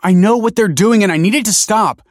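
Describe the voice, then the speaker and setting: A man's voice: sits high, fairly quick, dry recording, studio quality, voice pushed up, lightly acted. A man voicing a desperate, hot-tempered young man in an audiobook, pleading urgently in a strained way. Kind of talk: audiobook character voice.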